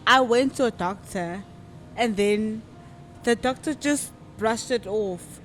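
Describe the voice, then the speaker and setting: A woman in her twenties, a podcast guest telling her own story in a casual, reflective way, often pausing to think aloud. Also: on the high side, a bit bright, soft background music. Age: 20s. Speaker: podcast guest, modeling agency founder. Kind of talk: personal story in casual interview.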